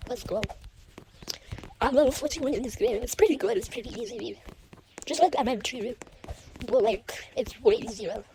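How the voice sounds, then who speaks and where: A woman giving casual gameplay commentary, often repeating short phrases in quick bursts. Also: fairly high pitch, expressive, mellow. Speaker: gamer commentating own play. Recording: noisy home recording with clicks.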